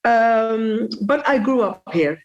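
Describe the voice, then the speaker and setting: Woman, middle-aged, dutch accent, slightly high voice, halting with fillers, dull lo-fi sound. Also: animated, faint music behind. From Suriname, raised in the Netherlands. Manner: warm, laughing, searches for English words with many fillers. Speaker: singer, interview guest. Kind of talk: casual interview chat.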